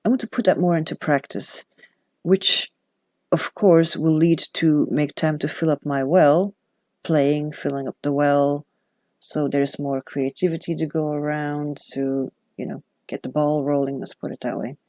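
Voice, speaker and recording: A woman's voice: low voice, fairly even intonation, a little slow, darkish tone, dry recording. Chatty woman, dry close sound. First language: Dutch. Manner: chatty and reflective, with self-deprecating asides. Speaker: journaling vlogger. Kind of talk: casual chat.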